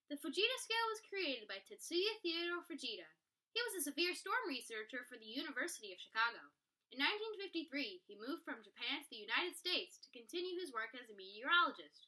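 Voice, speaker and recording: A woman's voice: high voice, a little fast. A teenage girl reading aloud a scripted story and presentation as a student storyteller. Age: teens. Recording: distant mic, loud steady background noise.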